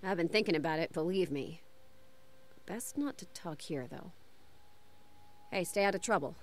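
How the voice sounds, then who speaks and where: A woman's voice: fairly low pitch, normal speed, slight background noise, lightly acted. An actress playing a wary, disillusioned young woman from a street gang in a video game.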